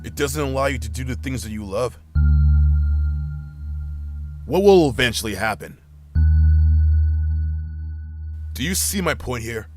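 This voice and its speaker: A man's voice: mid-range voice, lively intonation, normal speed, music in the background, lightly acted. A man playing a grieving woman's mind as a blunt, exasperated, sweary inner voice in an audio drama, confronting her with pointed questions. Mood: pressing, insistent. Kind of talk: amateur audio drama acting.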